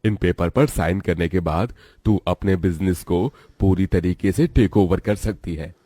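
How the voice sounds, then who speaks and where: Man playing a deep-voiced, greedy scheming uncle. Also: measured pace, warm tone, dry recording, studio quality. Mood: falsely affectionate. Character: greedy, scheming middle-aged uncle, falsely affectionate villain. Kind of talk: Hindi cartoon moral story acting.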